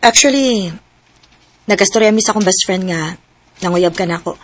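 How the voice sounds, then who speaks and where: A woman's voice: medium pitch, moderate pace, faint music behind, close to an everyday voice. An actress playing a guarded, plain-spoken young tomboy woman in a radio drama, with a casual, unaffected manner. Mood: tentative, sincere.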